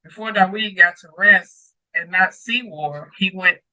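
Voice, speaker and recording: A man's voice: high voice, measured pace, bright, forceful tone, dry recording, lo-fi sound. A man talking casually through scripture as a co-host, emphatic and repeating phrases for weight. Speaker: co-host of a Bible read-along discussion.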